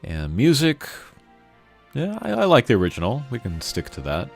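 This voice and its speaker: A man's voice: mid-range voice, huge pitch swings, normal speed, vocal fry. A man casually commentating as he plays a video game, rambling and opinionated in an informal way. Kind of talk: casual let's-play commentary. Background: faint game music.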